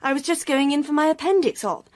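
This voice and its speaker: A woman acts a young English society lady in a radio mystery. Her voice is fairly high, with a breezy, scatterbrained, chatty manner, recorded dry and close. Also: fast, no room sound, natural voice. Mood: breezy, casual. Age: young adult. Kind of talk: radio drama acting.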